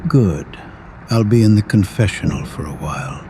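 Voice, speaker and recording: An actor playing a kindly, patient old priest in an audio drama, speaking gently and deliberately like a father to his flock. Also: lowish voice, animated, lightly acted. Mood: kindly, fatherly. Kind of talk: full-cast audio drama acting. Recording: roomy echo, faint background noise.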